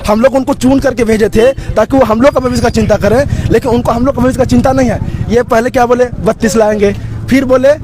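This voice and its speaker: A man's voice: very high voice, steady tone, fast talker. A young man speaking as an aggrieved protester in a street interview.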